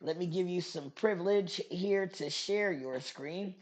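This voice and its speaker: A woman's voice: on the low side, a little slow, darkish tone. A woman hosting a podcast in a casual, chatty way. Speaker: podcast host.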